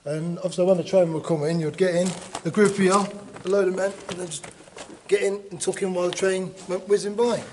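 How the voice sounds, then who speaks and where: A middle-aged man, casually explaining things in brief, easygoing replies inside a dark tunnel. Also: high voice, steady tone, a little fast, a little hiss or hum. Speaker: fellow explorer. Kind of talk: casual chat.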